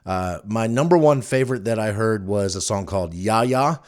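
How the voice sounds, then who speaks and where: A man's voice: lowish voice, expressive, moderate pace, darkish tone. A middle-aged man hosting a podcast, giving casual recommendations in a rambling, conversational way. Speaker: podcast host. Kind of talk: casual solo recommendations chat.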